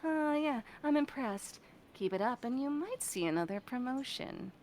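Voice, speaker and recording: A woman's voice: high voice, lively intonation, moderate pace, a little mellow, slight background noise, voice pushed up. A woman voicing a menacing female demon office boss, commanding, mocking and impatient in a sardonic way. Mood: purring approval.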